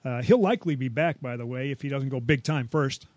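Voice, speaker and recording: A man's voice: mid-range voice, a little fast, a little mellow, dry recording. A man co-hosting a home improvement show, giving folksy advice with wry, scripted banter.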